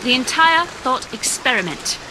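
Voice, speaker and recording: A woman's voice: sits high, expressive, a little slow, very noisy. A woman playing a coolly superior, witty lady scientist in a video game, arch and precise. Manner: arch, precise, formal phrasing. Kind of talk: video game dialogue, dry bickering banter. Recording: loud rain and sea behind.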